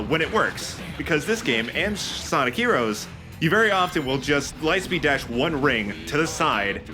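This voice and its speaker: Man chatting casually over loud game music. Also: medium pitch. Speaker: let's play host. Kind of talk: casual gaming commentary among friends. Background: game music and sound effects.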